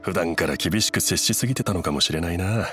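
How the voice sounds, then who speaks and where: An actor playing a kindly, easygoing middle-aged merchant in an anime game, speaking politely and expressively. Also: male voice, deep voice, fairly quick, bright, forceful tone, soft background music. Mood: rueful. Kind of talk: Japanese anime game voice acting.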